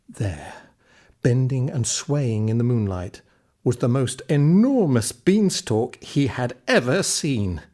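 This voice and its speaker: A man narrating a children's fairy tale in an expressive, scripted storytelling style. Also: medium pitch, slightly rough. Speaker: audiobook narrator.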